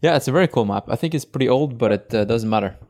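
A man's voice: mid-range voice, lively intonation, rapid-fire, darkish tone. A man hosting a casual conversation, easygoing and hesitant, with many fillers as he finds his words. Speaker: podcast host. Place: friend's house, video call.

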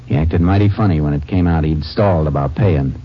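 An actor playing a firm, shrewd Texas Ranger investigator in a 1950s radio drama, terse and authoritative. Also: male voice, extremely low pitch, a little fast. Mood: shrewd, decisive. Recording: muffled old radio recording with heavy noise.

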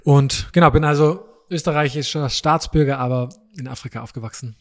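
A man's voice: fairly high pitch, a little fast. A man in his thirties, originally from Austria, talks as a guest in a casual podcast interview. He is friendly and easygoing, often dropping short words of agreement. The chat was recorded in a youth camp dining hall. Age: 30s. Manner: friendly, affirms often with "genau".

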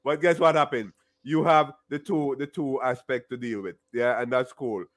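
A man with a strong Jamaican accent interviewing a guest in a relaxed chat, laughing often and repeating himself. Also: medium pitch, normal speed, dry recording.

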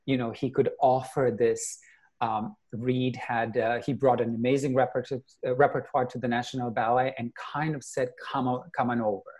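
Middle-aged guy, ex ballet dancer, chatty thoughtful storytelling, mid pitch. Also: flat delivery, moderate pace, a little mellow. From Serbia. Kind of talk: reflective interview storytelling.

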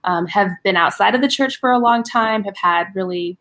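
A middle-aged woman in a relaxed interview, speaking at an even, ordinary pitch. She is earnest and thoughtful, and she often trails off, says "um" and "uh," and restarts her sentences. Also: normal speed. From United States. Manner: earnest, with many fillers and restarts. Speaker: guest, ministry director. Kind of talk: casual interview conversation.

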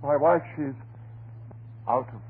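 An actor playing a nervous, evasive young householder in an old-time radio drama, speaking in anxious, clipped, repeated phrases. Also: male voice, medium pitch, takes it slowly, husky. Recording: noisy, narrow-band vintage radio recording.

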